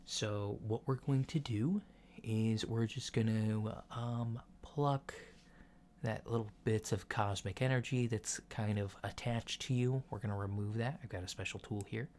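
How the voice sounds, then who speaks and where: A man playing a casual, reassuring alien doctor in a soft ASMR roleplay, speaking in a mild, hesitant, matter-of-fact way. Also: fairly low pitch, fairly even intonation, a little slow, close to an everyday voice. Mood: calm, reassuring. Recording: lo-fi with clear background noise.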